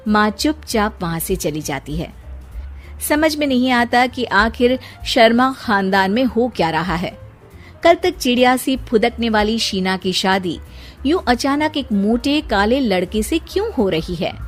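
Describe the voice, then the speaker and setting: A woman's voice: on the high side, animated, a little slow, soft background music. A woman reading a scripted story as its storyteller.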